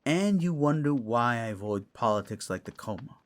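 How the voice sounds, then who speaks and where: A man playing a gruff, bookish older lord in a fantasy audio drama, wry, loyal and theatrical. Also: mid-range voice, lively intonation, normal speed, natural voice. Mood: wry, musing.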